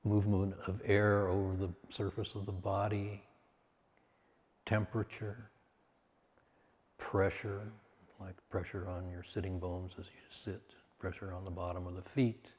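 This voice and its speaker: Very deep, slow, mellow male meditation guide. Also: little pitch movement. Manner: unhurried, soothing, with long pauses between short phrases. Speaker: meditation teacher. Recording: hall-like long reverb.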